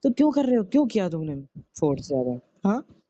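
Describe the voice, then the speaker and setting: A man acts out a concerned young husband in a homemade couple skit. He has a mellow, dark tone and a coaxing, chiding manner, repeating his pleas. The audio is dry and somewhat lo-fi. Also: high voice, very animated, a little fast, no echo. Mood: scolding. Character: concerned young husband, soothing yet scolding his wife. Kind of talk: acted phone-recording style couple skit in casual speech. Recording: dull, lo-fi audio.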